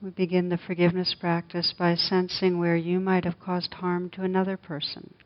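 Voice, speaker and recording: Female meditation teacher, low even delivery, gentle and unhurried; dull lo-fi recording. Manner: slow, soft, gentle guidance with long pauses. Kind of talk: guided meditation.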